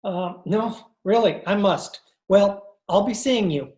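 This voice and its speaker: A woman's voice: medium pitch, takes it slowly, dark tone, natural voice. A woman playing a breezy, wisecracking male con artist adventurer in old-time radio drama style, with a wry storytelling manner. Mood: flirtatious. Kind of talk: old-time radio drama acting and first-person narration. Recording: roomy video-call sound.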